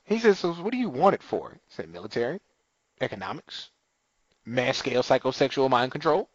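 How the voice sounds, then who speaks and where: Male co-host, casual recap, lively pitch, acts out lines; dry, muffled lo-fi sound. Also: fairly quick, dry recording. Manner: animated retelling, acting out characters' lines. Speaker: TV recap podcaster. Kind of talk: casual chat, recapping an episode.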